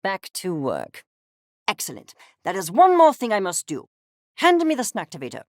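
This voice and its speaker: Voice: medium pitch, wildly swooping pitch, moderate pace, no room sound, studio quality. An actor, sounding female, voicing an arrogant, obsessed mad-scientist creature in a video game, with pompous, clinical, precise diction. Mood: brisk, businesslike. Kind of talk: theatrical game character acting.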